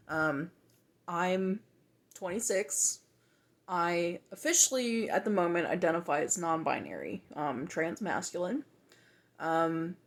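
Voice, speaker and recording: A woman of twenty-five, talking in a reflective, hesitant way with many pauses and restarts for a personal video journal. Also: lowish voice.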